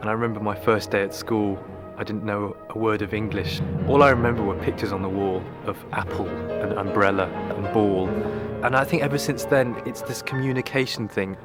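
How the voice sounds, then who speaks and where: A male conductor, a native Cantonese speaker, talking thoughtfully and at a measured pace about his path into music. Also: on the low side, steady tone. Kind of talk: reflective interview.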